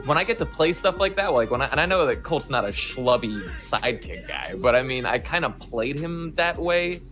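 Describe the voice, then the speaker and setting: A man talking as a voice actor being interviewed, casual and animated, with a self-deprecating manner. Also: mid-range voice, moderate pace, faint music behind.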